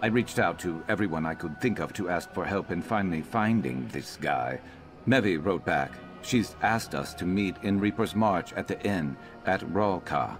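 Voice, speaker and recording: An actor playing a grim, determined former slave companion in a video game, measured and earnest with dry humour. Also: male voice, sits low, expressive, music in the background. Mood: grave, resolute.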